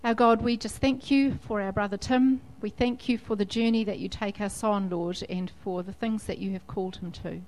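A woman leading a church service, mid-pitched and friendly. She interviews a guest in a chatty way and leads the congregation in prayer. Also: fairly even intonation, normal speed, hardly any pauses, darkish tone, rough recording.

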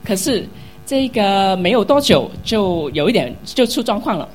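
A woman's voice: medium pitch, sing-song, normal speed, slight background noise. A woman interpreting a sermon into Mandarin, which is not her first language, hesitant and full of fillers.

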